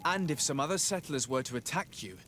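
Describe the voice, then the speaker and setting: A man playing a composed noble diplomat and tactician in a video game, speaking formally and in a measured way. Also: on the high side, animated, a bit bright. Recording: outdoor ambient noise behind voice.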